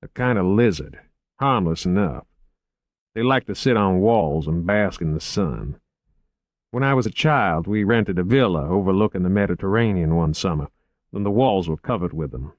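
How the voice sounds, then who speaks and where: A refined, unflappable agent, as a man reads him. The voice is low, measured and unhurried. Dry studio sound. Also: expressive, dry recording. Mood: calm.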